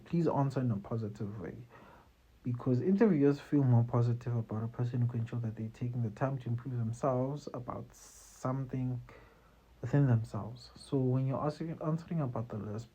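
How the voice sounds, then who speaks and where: A man's voice: medium pitch, moderate pace, warm tone, slightly rough, low-quality recording. A man hosting casual career-advice talk, conversational and repetitive, often tagging his points with "you see" and "man". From South Africa. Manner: conversational, repeats phrases, tags lines with "you see" and "man". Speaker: host giving career advice.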